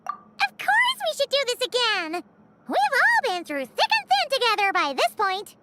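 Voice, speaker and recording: A woman's voice: extremely high pitch, wildly swooping pitch, measured pace, very noisy, full character voice. A woman voicing a proud, testy young woman in a video game, huffy and haughty but secretly fond. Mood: grudgingly fond.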